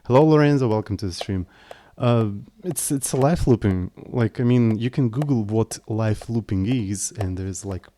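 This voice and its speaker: A man's voice: fairly low pitch, moderate pace, few pauses, dark tone, slight background noise. A man live-streaming his coding, speaking English as a second language in a hesitant, apologetic way with frequent restarts. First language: not English. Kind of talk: casual live stream chat, explaining code.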